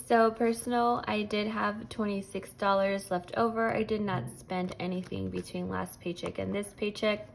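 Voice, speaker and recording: A hoarse woman with a gravelly, raspy voice talks casually as she counts bills aloud in a steady rhythm. Her pitch sits in an ordinary middle range. The recording carries clear background noise. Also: a little slow. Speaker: budgeting vlogger. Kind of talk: casual chat while counting cash. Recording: noisy home recording.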